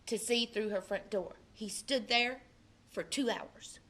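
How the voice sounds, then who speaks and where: A woman, a librarian, reading a children's picture book aloud with expressive delivery. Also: on the high side, unhurried. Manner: expressive, puts on character voices in quotes. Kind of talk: storybook read-aloud.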